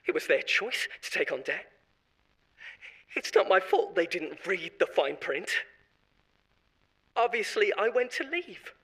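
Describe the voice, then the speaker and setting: A frantic, blame-dodging company marketer pleads and justifies himself in a horror audio drama, voiced by a man. His voice sits high and bright and swings widely in pitch. He whines defensively and laughs nervously. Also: sing-song, measured pace, long pauses, husky, exaggerated voice. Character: panicked self-serving male corporate marketer, blame-shifting. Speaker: voice actor.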